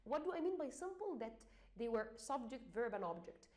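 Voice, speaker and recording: Egyptian-accented woman teacher, slightly high voice, halting explanatory delivery. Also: big pitch swings, darkish tone. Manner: hesitant, many fillers, repeats and restarts. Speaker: English exam teacher.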